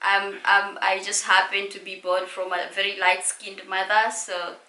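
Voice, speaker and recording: A Kenyan woman in her late twenties speaks in a bright, forward voice at a middling pitch. She is playful and chatty, like someone co-hosting a casual vlog. The sound carries the echo of the room around her. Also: level tone, moderate pace. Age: late 20s. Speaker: vlogger co-hosting a couples' channel. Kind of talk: casual Q&A chat. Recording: echoey room.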